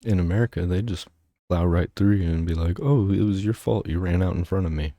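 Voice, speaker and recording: Man, reaction host, very low voice, mellow and dark, dry close sound, casual rambling commentary. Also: fairly quick, dry recording. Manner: rambling, easygoing musing. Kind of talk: casual commentary over a video.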